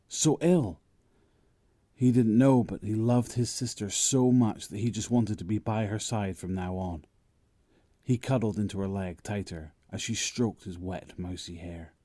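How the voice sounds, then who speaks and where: Low, mellow male story narrator. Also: no room sound, clean studio sound. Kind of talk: scripted dramatic story narration.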